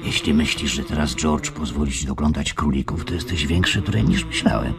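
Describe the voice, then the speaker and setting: An actor playing a scornful, hot-tempered migrant worker in a radio play, harsh and mocking. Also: male voice, a bass voice, fairly quick, creaky, crowd noise. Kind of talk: radio drama acting.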